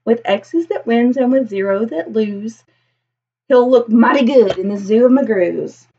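A woman's voice: on the high side, lively intonation, a little slow, dark tone, slightly rough, highs cut off. A woman in her thirties reading a children's picture book aloud expressively, with a singsong, rhythmic delivery. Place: small indoor room with bookshelves.

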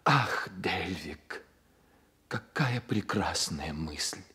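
An actor playing an ardent romantic poet and fond friend in a radio play, speaking in an expressive, literary manner. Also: male voice, sits low, drawn-out words, breathy edge, full character voice. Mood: rapt. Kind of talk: Soviet radio play acting.

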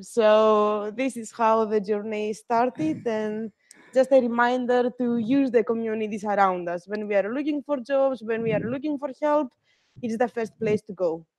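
High-voiced young woman from Greece lecturing. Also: a little mellow, no room sound, muffled recording. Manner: friendly, with hesitant fillers. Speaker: guest lecturer. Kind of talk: live online lecture.

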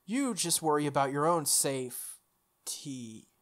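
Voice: mid-range voice, animated, slow. A game player voicing a sardonic male servant warrior who explains things in a condescending, lecturing way. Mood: smug. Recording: music under the voice.

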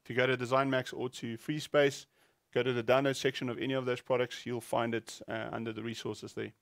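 Middle-aged male co-host, creaky voice, dry studio sound, informal and slightly hesitant product explainer. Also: mid-range voice, level tone, brisk, no echo. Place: small indoor studio set at a desk.

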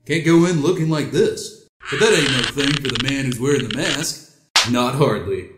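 A man's voice: medium pitch, moderate pace, background noise, lightly acted. A man playing a smug, casual teenage slacker musing to himself in a comic book audio drama. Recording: echoey, roomy voice.

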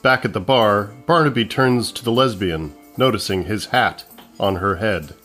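A man's voice: lowish voice, moderate pace, fairly bright tone. Male narrator with music behind him. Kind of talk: scripted narration of stage directions.

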